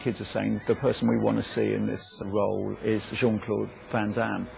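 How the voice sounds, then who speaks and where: A man's voice: on the low side, little pitch movement, moderate pace, creaky, over music. A man giving expert interview commentary as he explains things. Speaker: interviewee, star-ranking expert.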